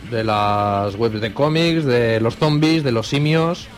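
A man's voice: mid-range voice, takes it slowly, soft background music. A man in casual conversation as a panellist on a radio show. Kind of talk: casual studio chat.

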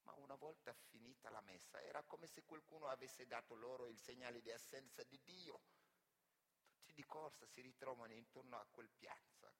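A man from Senegal, an actor and griot, delivering a dramatic, deliberate stage monologue with weighty pauses. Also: a little high, pushed and bright. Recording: echoey hall with long reverb.

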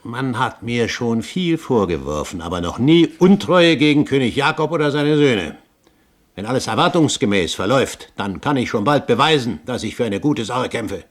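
An actor playing a proud, cunning older pirate captain in a 1970s German radio drama, speaking eloquently and theatrically. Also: male voice, medium pitch, big pitch swings, moderate pace. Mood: boastful, regretful. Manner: eloquent, pompous, theatrical phrasing.

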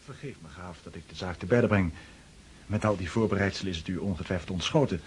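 An actor playing a calculating, polite suitor in a radio drama, deferential and businesslike, with a husky voice. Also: male voice, sits low, fast talker, a little hiss or hum, close to an everyday voice. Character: calculating, polite suitor haggling with future father-in-law.

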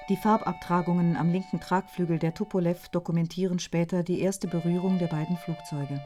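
A woman performs a clinical report narrator in a radio drama. Her voice is on the low side and entirely matter-of-fact, set over faint music. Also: steady tone, fairly quick, mellow, lightly acted. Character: clinical female narrator reading an accident report. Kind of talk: scripted documentary narration within radio drama.

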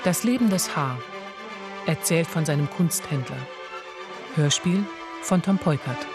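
Radio play announcer, scripted title reading, mid-range voice, prominent loud music. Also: medium pitch, animated, measured pace.

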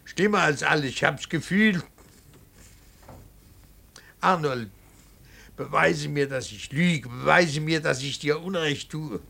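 An actor playing a stern, anguished middle-aged father in a radio drama, speaking in a deliberate, halting and emphatic way. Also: fairly high pitch, gravelly, bright, forceful tone, exaggerated voice. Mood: desperate pleading. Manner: deliberate, halting, emphatic, swinging from muttered to pleading. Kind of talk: German radio drama acting.

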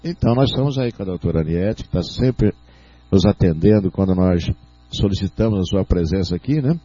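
Raspy Brazilian male radio host. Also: sits low, animated, a little fast, warm tone, no room sound, faint music behind. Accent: Brazilian Portuguese. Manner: rambling, many fillers, asks leading questions. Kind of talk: radio talk show interview. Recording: dry, dull lo-fi radio audio.

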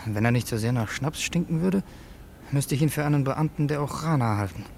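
An actor playing a young revolutionary student who narrates in the first person in a radio play, earnest and tense. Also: male voice, mid-range voice, lively intonation, fairly quick, creaky, a little mellow, slight background noise.

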